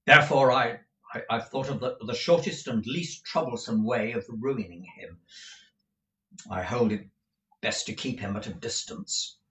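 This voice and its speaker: A man's voice: mid-range voice, a little slow, bright, forceful tone, reverberant, far from the mic, low-quality recording. A man reading aloud and voicing a cold, domineering older moneylender who speaks curtly and dryly. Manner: curt, dry, peremptory.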